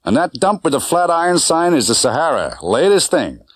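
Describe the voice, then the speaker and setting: A man's voice: on the high side, big pitch swings, moderate pace, husky, no room sound, studio quality, pitched above the performer's own voice. A man voicing a brash, chatty American taxi driver with slangy, familiar patter in an audiobook. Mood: showy, chatty. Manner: slangy, familiar, showy tour-guide patter.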